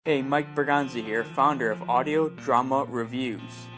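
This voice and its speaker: A man's voice: mid-range voice, fairly even intonation, normal speed. A man hosting a podcast and reading a scripted review in the manner of a narrator. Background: music.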